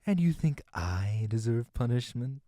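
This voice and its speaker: An actor as enigmatic murder suspect, audio drama, mellow dry voice, teasing, polite, theatrical. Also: mid-range voice, very animated, a little slow, no room sound. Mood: teasing, knowing. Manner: coy, theatrical, polite, evasive.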